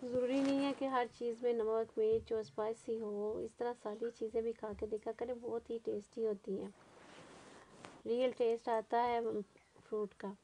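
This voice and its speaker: Middle-aged woman, soft mellow tone, slightly high and fairly flat, chatty home-cook voice-over. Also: normal speed, a little hiss or hum, rough recording. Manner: conversational, rambling. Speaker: home cooking vlogger. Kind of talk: casual chatty cooking voice-over.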